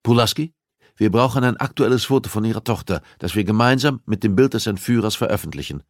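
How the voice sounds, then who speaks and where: Guy doing a cold bossy profiler for an audiobook, deliberate and insistent, raised pitch, close dry sound. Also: expressive, no room sound. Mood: commanding, urgent. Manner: commanding, deliberate, argumentative. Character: cold, insistent male criminal profiler and police investigator. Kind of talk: audiobook dialogue acting.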